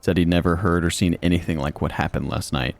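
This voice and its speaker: Deep, gentle, protective companion played by a man. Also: fast talker, vocal fry. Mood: gentle, concerned. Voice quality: soft, calming. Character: gentle, protective, worried male companion of a healer. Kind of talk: dark fantasy audiobook dialogue.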